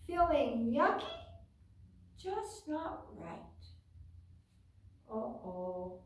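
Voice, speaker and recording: An American woman reads a storybook to small children in a high, expressive voice. She takes her time and slips in simple asides to her listeners. The sound is distant and very echoey, picked up across a bare room. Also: drawn-out words. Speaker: children's librarian and storytime host. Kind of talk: reading a picture book aloud to young children, with chatty asides. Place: indoors, bare carpeted library room with painted wall. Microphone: camera several metres away.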